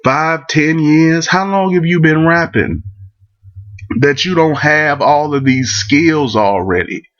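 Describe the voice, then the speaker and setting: A man's voice: fairly high pitch, slow, muffled recording. A man with a cold, rambling bluntly through his opinions in a casual monologue. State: catching a cold. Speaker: vlogger giving battle rap opinions.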